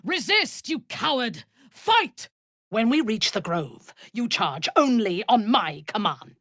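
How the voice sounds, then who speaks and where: An actress voicing a ruthless, imperious female cult commander in a fantasy video game, with a measured, cold and menacing delivery. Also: fairly high pitch, very animated, fairly bright tone, no echo, studio quality, full character voice. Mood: fierce, then cold, commanding.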